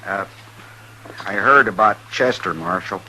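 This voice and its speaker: A meddling American townsman from a radio Western, low-voiced and sharp-edged. He is folksy and hesitant, eager to seem friendly, on a slightly noisy 1950s broadcast recording. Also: a little slow. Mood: uneasy, ingratiating. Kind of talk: 1950s radio drama acting.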